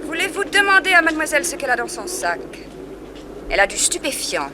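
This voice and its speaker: An actress playing a detective's brisk, assertive assistant, self-assured and pointed, in a 1960s French radio crime drama. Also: female voice, sits high, sing-song. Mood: firm, challenging. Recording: noisy old radio drama recording.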